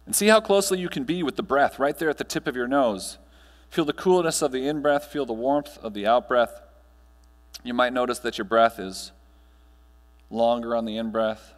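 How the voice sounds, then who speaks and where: An American man in his thirties speaking as a trainer to an audience, guiding them in a measured, instructional way with deliberate pauses. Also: medium pitch, in a large hall. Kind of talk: guided breathing meditation and talk to an audience. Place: large hotel conference ballroom, on stage.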